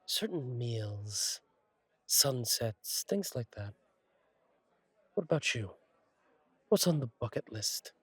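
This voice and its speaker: A man playing a friendly, courteous angel bartender in an intimate roleplay audio, speaking gently with thoughtful pauses. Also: mid-range voice, expressive, slightly rough, no room sound, heavily acted. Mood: musing, curious. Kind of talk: intimate roleplay audio acting, one side of a conversation.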